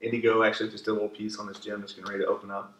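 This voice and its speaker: A man's voice: lowish voice, completely flat delivery, very fast, fairly bright tone. A man co-hosting a podcast, chatting loosely and enthusiastically, with casual swearing. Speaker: podcast co-host. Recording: echoey room, distant mic, faint hiss.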